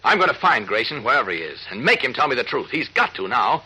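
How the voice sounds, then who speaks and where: Young male reporter, sharp forceful voice, slightly husky, earnest and indignant, urgent delivery, vintage radio serial. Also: mid-range voice, sing-song, fast, heavily acted. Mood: indignant, determined. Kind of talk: 1940s radio acting. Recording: noisy old radio drama recording.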